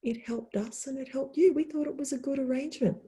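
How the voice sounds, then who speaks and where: A woman voicing a calm, wise female deer in a storybook tale, gentle and soft-spoken. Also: high voice, a little fast, pitched above the performer's own voice, lightly acted.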